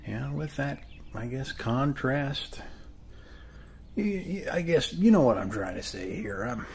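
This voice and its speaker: Slow, creaky-voiced man in neighborly ASMR. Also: medium pitch, animated, a little mellow. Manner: folksy, reassuring, rambling with hesitant pauses. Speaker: ASMR performer playing a friendly neighbor. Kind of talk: ASMR roleplay, one-sided casual neighborly chat.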